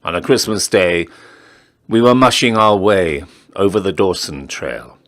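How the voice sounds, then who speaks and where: Slow, low-voiced man reciting a dramatic ballad. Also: animated, a bit bright. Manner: measured, theatrical storytelling with deliberate pauses. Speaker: poetry reader, town crier.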